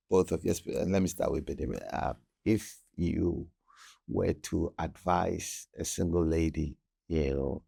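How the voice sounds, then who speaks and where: Gravelly deep-voiced man hosting. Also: darkish tone. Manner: halting, repeats words while searching. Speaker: podcast host. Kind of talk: casual interview chat.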